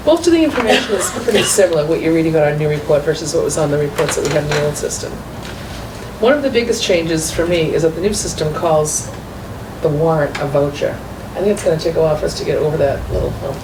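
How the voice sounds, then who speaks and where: A woman presenting a financial report at a school committee meeting, walking the members through documents step by step. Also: fairly low pitch. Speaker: school business manager. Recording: echoey far-off room mic with noise.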